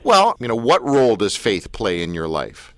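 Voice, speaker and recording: A man's voice: fairly low pitch, wildly swooping pitch, normal speed, no echo. A man hosting a radio talk show and interviewing a guest in a joking, teasing way. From Canada.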